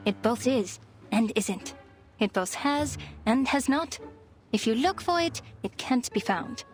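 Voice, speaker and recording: An actress playing a proud, eloquent psychiatrist with a sardonic, theatrical way of talking in a video game. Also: female voice, on the high side, lively intonation, measured pace, soft background music. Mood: flattered, musing. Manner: eloquent, grandly rhetorical, sarcastic.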